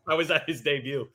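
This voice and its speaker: A man in his late twenties co-hosting a sports podcast, excitable and jokey, with stammering restarts. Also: fairly high pitch. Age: 20s. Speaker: podcast co-host. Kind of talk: casual sports podcast chat.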